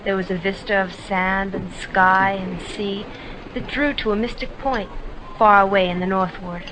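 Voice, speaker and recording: A woman narrating a classic short story in a measured, descriptive reading. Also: mid-range voice, fairly even intonation, noisy background. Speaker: audiobook narrator. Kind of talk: scripted narration.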